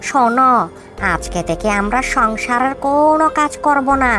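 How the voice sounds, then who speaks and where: A woman voicing a bossy, scheming monkey housewife in a cartoon, scolding and exclaiming with a quick temper. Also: high-pitched, lightly acted. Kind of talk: cartoon voice acting. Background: background music.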